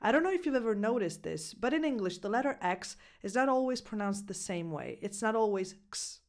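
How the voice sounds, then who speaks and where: A young woman with an Italian accent, giving a scripted English pronunciation lesson as a coach, speaking clearly and slowing down to model words. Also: medium pitch, animated. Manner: clear, deliberate, slows down to spell out words.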